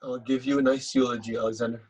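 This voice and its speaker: Male actor as pious, needling home cook, raspy mid-range voice, deadpan and emphatic, comedy script read. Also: medium pitch, fast talker, close to an everyday voice, poor audio. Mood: deadpan threatening. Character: smug, stubborn, pious home cook needling his housemates.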